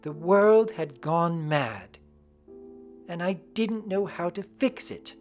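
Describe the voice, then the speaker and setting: A woman's voice: lowish voice, expressive, takes it slowly, a little mellow, soft background music. A woman voicing an earnest artistic pony mare. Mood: distressed. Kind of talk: first-person audiobook reading of fan fiction.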